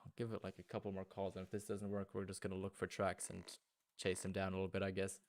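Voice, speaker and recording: Male streamer, German native, deep and flat delivery, casual running chat with viewers. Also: quick pace, no room sound. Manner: thinking aloud, greeting chat viewers. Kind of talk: casual gaming livestream chat.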